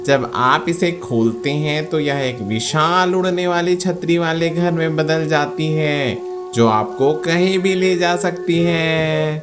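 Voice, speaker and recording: A man voicing a gruff, reluctant crow magician in a children's cartoon, grumpy and showy in manner. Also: on the high side, slow pace, music in the background. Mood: proud, showy. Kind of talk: Hindi children's cartoon voice acting.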